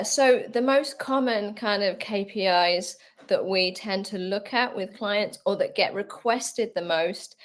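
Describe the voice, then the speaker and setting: A woman who heads a company, explaining her work as a webinar panelist in a businesslike way with frequent fillers. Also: lowish voice. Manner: businesslike, filler-heavy with kind of and um. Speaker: CEO and co-founder, panelist. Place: video call.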